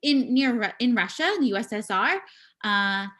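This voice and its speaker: A woman giving a live spoken interpretation of a signing performer, speaking in halting bursts with pauses and restarts, over a video call. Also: a little high, lively intonation, fairly bright tone. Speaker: sign language voice interpreter.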